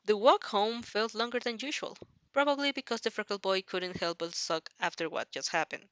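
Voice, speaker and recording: A woman reading a dramatic fan fiction story aloud as narrator, halting now and then over the text. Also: mid-range voice, a bit bright, no echo. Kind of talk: dramatic audio story narration in second person.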